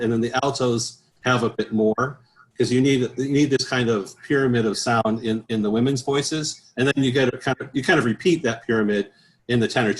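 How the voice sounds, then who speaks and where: A man speaking as an interview guest, explaining things conversationally as a choral professional, with halting, repeated phrases. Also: mid-range voice, rough recording. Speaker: interview guest, professional bass singer and choir director.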